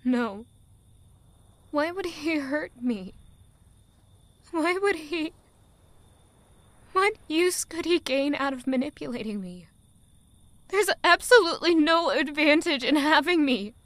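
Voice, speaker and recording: Woman acting high voiced young village girl, slow n emotional, weary and full of doubt, audio drama monologue. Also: lively intonation, a bit bright, no room sound, full character voice. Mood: distraught, indignant. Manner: emotional, slow, deliberate delivery with rhetorical questions.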